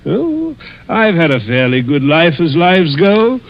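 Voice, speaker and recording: A man's voice: a little high, huge pitch swings, exaggerated voice. Silky, sinister rich stepfather, old radio. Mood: gleeful menace. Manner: silky, taunting, deliberate with chuckles and hesitations. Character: suave, malicious, wealthy older stepfather plotting murder. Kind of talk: 1940s radio mystery acting. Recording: muffled vintage 1940s radio recording.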